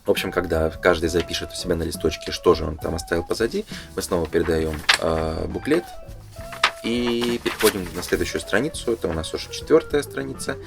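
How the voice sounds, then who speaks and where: Man, very low voice, casual presenter, hesitant thinking-aloud commentary, clear music underneath. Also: a little fast. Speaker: presenter reading through a game booklet. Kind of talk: casual unscripted explanatory commentary.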